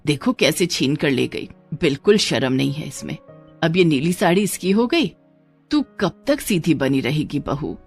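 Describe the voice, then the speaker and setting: A woman doing a low, scolding older mother-in-law. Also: sing-song, measured pace, gravelly, darkish tone, husky, faint music behind, full character voice. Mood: indignant. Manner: scolding, admonishing.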